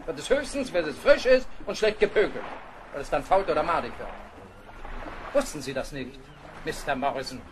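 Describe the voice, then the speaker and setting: An actor playing a stern, fault-finding naval captain in a radio play, clipped, commanding and condescending. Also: male voice, on the high side, lively intonation, moderate pace, pauses often, background noise, natural voice. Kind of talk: German radio play acting.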